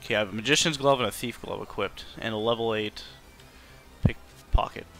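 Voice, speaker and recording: A man's voice: fairly low pitch, fairly quick, a bit bright. A man giving casual, informal, sweary commentary as he plays a video game. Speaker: game streamer, player. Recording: faint game music behind.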